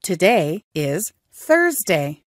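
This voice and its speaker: A woman with a low voice repeats short practice phrases, each one slowly and carefully. Her pitch rises and falls a lot. The recording is dry and close. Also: sing-song, no echo. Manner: slow, careful phrase repetition. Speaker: English teacher. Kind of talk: repeating phrases for language learners.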